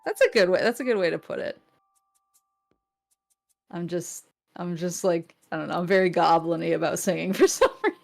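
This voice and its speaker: Giggly, halting woman guest. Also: on the low side, expressive, gravelly. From New England. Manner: giggly, halting, many restarts. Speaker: guest vtuber. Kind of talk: casual chat.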